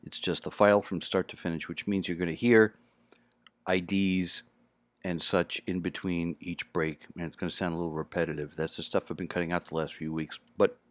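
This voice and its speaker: Podcast host: tired American man, very low voice, casual, close and dry sound. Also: little pitch movement, a little fast, no echo. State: exhausted.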